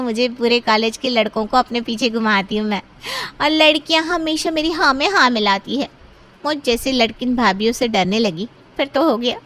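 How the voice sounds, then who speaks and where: Woman acting a young cartoon sister-in-law, high pitch, haughty, sly, boastful, scheming. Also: a bit bright, natural voice. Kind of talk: Hindi moral-story cartoon dialogue.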